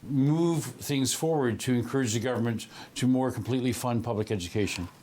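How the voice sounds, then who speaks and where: A man hosting a local election interview, asking questions in a measured, formal way. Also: medium pitch, darkish tone. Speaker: host. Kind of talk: broadcast debate interview.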